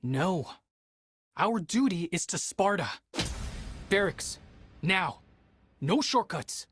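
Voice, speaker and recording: A man's voice: high voice, lively intonation, slow pace, a bit bright, a little hiss or hum, full character voice. A young Spartan warrior, the dutiful elder brother. His delivery is clipped and stern, guarded but respectful, in cinematic game style. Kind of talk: cinematic video game acting.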